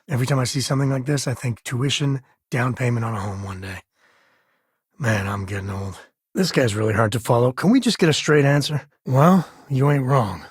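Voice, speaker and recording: A man voicing a rugged, wry space explorer and devoted father in a video game, with a husky voice and a folksy, conversational way of talking. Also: medium pitch, dry recording, clean studio sound. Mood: uneasy, cautious. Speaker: video game companion character.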